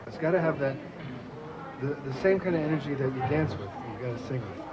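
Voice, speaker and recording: A man's voice: mid-range voice, animated, brisk. A man working as a musical coach, moving between instruction and sung demonstration. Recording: dull rehearsal sound with music.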